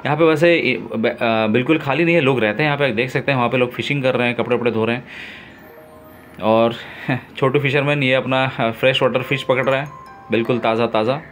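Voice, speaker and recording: A man narrating his own travel vlog in a relaxed, enthusiastic way, pausing often with fillers, filmed on a phone outdoors on a river boat. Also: mid-range voice, faint music behind. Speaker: travel vlogger.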